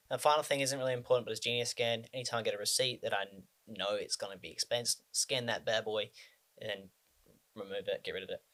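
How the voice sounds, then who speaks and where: A man's voice: on the low side, a bit bright. A man in his twenties from Australia, talking casually to the camera about personal finance, rambling with frequent ums and restarts. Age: 20s.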